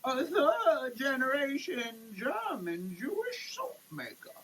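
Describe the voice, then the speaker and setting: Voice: high-pitched, big pitch swings, slow pace, fairly bright tone. A performer giving a comedic dramatic reading of label text, theatrical, moving between declaiming and muttered asides, choking as water soaks them. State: choking, coughing, gasping while being soaked with water. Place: shower, indoors. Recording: noisy room with running shower water.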